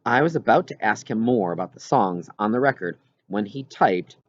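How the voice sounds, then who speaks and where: Animated male storybook narrator, slightly dull recording. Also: lowish voice, normal speed. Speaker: audiobook reader. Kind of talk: scripted narration of a children's novel.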